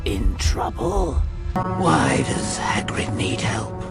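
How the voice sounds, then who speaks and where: An actor playing an ancient giant male spider in a video game, grave and menacing, with a gravelly, halting, ominous delivery. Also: medium pitch, sing-song, full character voice. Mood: suspicious. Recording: loud music under the voice.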